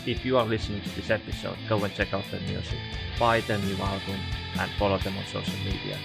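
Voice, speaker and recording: A man's voice: sits low, steady tone, fast, vocal fry, loud music behind the voice. Estonian-accented male podcast host. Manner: chatty, leans on "you know". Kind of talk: casual interview chat.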